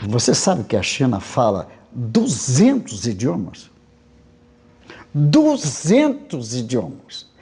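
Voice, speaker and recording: Male interviewee, emphatic and rhetorical, high and animated, unhurried delivery. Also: husky. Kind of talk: documentary interview.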